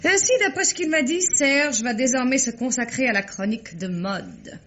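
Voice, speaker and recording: An actress playing a poised, lightly ironic stage actress in an old radio drama. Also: female voice, high-pitched, animated, moderate pace, a bit bright. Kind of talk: 1950s French radio drama acting. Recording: muffled old radio recording.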